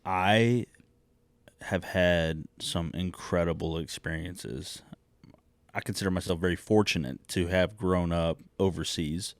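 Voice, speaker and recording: A young man who grew up in China, a podcast guest, recalling his upbringing in a measured, reflective way with frequent fillers and restarts. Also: low-pitched, fairly even intonation, no echo. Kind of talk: reflective personal storytelling.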